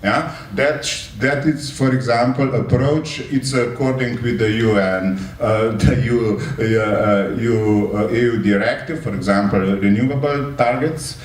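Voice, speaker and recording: A man speaking in an unscripted public address, halting and often hedging. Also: mid-range voice, steady tone, distant mic. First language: not English. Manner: halting, hedging with frequent 'let's say'. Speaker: panel speaker. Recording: echoey room from afar, faint noise.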